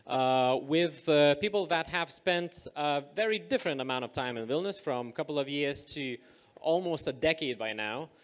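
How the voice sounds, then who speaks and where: A man moderating a live panel discussion on stage, upbeat and energetic, with many filler sounds. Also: fairly high pitch, moderate pace. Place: event stage.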